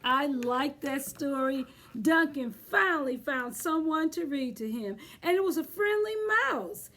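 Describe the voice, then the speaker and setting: Female children's storyteller, high voice, unhurried pace, expressive, speaking directly to little kids. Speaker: children's librarian leading storytime. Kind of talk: children's storytime read-aloud and chat.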